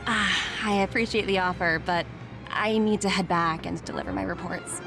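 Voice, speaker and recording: A woman's voice: on the low side, moderate pace, natural voice. An actress voicing a confident, gracious young woman executive in a video game, polite and breezy. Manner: breezy, polite, self-assured. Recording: game music behind the voice.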